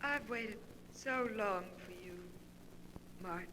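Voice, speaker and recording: A piercingly bright, slow female voice on a hissy vintage radio recording. It is an evil ghost lover, seductive and commanding, drawing out each phrase in melodramatic 1940s horror style. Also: mid-range voice, rough recording. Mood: hypnotic, yearning.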